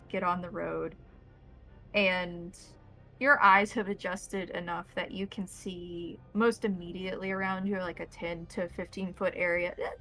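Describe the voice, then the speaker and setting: A woman's voice: fairly low pitch, bright, forceful tone, low-quality recording. A woman narrating a tabletop roleplaying game as the game master, describing scenes to players.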